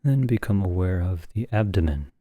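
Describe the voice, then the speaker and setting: A man leading a guided meditation as a teacher, speaking calmly and gently in short phrases with long pauses. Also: low voice, no room sound, clean studio sound.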